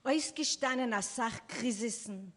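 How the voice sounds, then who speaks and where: A woman's voice: on the high side, measured pace, fairly bright tone. A woman performer giving a dramatic literary reading on stage, recorded in a reverberant hall. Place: live event on stage.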